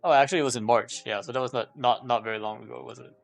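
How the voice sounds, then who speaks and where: A man's voice: mid-range voice, steady tone, rapid-fire, gravelly, faint music behind. A man chatting casually on a livestream, thinking aloud in a hesitant, rambling way and often repeating himself. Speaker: live streamer.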